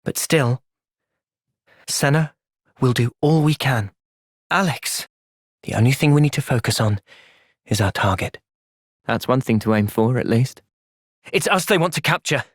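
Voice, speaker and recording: An actor with an English accent playing an earnest, gentle young soldier in a video game cutscene, speaking in a measured, sincere way. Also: male voice, medium pitch, expressive, no room sound, clean studio sound. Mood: calm, resolute.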